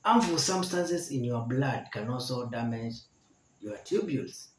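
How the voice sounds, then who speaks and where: A man in his forties teaching a health lesson to camera, slowly repeating each point to explain it. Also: medium pitch, lively intonation. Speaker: medical educator. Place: indoor room with barred window. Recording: echoey room.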